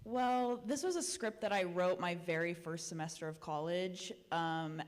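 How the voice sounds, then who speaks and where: A woman's voice: fairly low pitch, unhurried. Young female filmmaker, laid-back and casual, hall reverb. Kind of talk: answering questions at a festival Q&A. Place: theater stage at a film festival.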